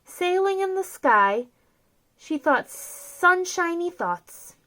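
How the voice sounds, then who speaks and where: A woman reading a children's picture book aloud with theatrical, drawn-out emphasis on key words. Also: very high-pitched, very animated, bright, forceful tone, low-quality recording. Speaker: children's storyteller, host.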